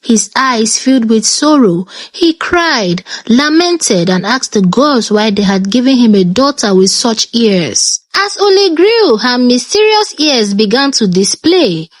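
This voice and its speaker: A woman storyteller with a Nigerian accent narrates a folktale. Her pitch moves in lively swings around a medium register, and the recording is dry and close. The delivery is dramatic and expressive. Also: a little slow, hardly any pauses, no room sound.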